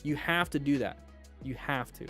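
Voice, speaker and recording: A man hosting a livestream, chatting casually with viewers in a blunt, joking, animated manner. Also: a little high, moderate pace, soft background music. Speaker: livestream host; firefighter.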